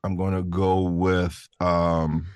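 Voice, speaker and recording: A male podcast guest with a low, deep voice, chatting casually. He sounds easygoing and thinks aloud as he goes, recorded dry and without room sound. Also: level tone, slow, darkish tone, clear, resonant tone, dry recording. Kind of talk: casual chat.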